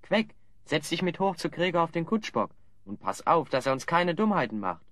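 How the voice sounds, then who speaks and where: An actor playing a level-headed, dryly ironic adventurer hero in an audio drama. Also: male voice, fairly high pitch, sing-song, normal speed, fairly bright tone, no echo, clean studio sound. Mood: practical. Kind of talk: German audio drama acting.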